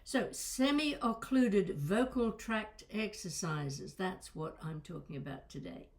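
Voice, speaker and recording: A woman's voice: medium pitch, expressive, slow. An older woman voice coach giving an informal lesson, conversational and digressive with wry asides, with a slight crackle and small breaks in her voice. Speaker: voice coach and presenter. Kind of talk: informal instructional talk to camera.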